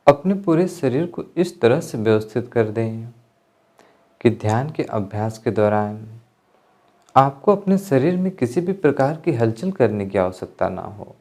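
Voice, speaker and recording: A man giving guided meditation instruction, speaking calmly in measured phrases with pauses as an instructor. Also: medium pitch. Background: music.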